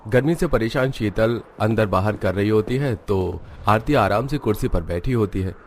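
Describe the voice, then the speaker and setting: A man's voice: lowish voice, moderate pace, a little hiss or hum. A man narrating a scripted family story as a storyteller.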